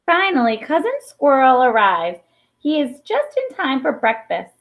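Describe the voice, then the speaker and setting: A woman reads a children's story aloud in a fairly high voice that swings expressively up and down. She takes her time and pauses for emphasis. The recording sounds a little dull and lo-fi. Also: wildly swooping pitch. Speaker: storybook reader. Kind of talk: picture-book read-aloud with brief asides.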